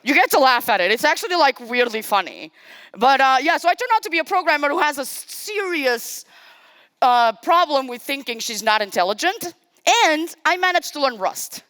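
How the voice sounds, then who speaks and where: A woman's voice: sits high, animated, moderate pace, a bit bright, no room sound. A young woman from Portugal, giving a casual, self-deprecating live-coding talk on a conference stage. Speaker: conference speaker.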